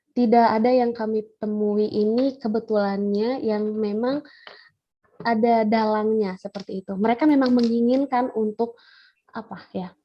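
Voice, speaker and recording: A woman with an Indonesian accent answers questions about her research, pausing often to search for her words. Her voice sits a little high. It comes through dull, lo-fi video call audio. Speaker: researcher presenting her study. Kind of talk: conference Q&A answer.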